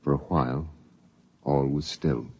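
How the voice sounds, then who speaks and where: A man's voice: a bass voice, steady tone, measured pace, dark tone, husky, no room sound. A man narrating a scripted 1950s radio drama in a solemn, dramatic manner. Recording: dull vintage radio recording.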